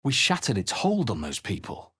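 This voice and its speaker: Video game fantasy hero, low husky voice, swinging pitch, wry, observant spellcaster. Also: male voice, huge pitch swings, moderate pace, sharp tone, full character voice. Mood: musing. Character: male player-character adventurer, wry, observant, spell-casting fantasy hero. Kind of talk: video game character barks and spell incantations.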